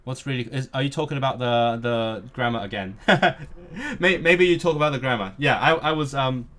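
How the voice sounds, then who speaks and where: A male streamer whose first language is Cantonese talks casually to his viewers. His voice sits at an ordinary middle pitch. He sounds eager and nerdy, and he often trips over words and starts them again. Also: moderate pace, fairly bright tone. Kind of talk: casual live-stream chat.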